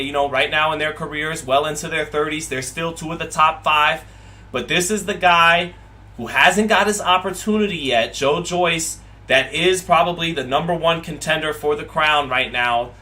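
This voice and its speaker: A man's voice: on the high side, bright, forceful tone. A man hosting a live boxing watch party, reading viewer comments aloud and rambling, with frequent repeats and restarts.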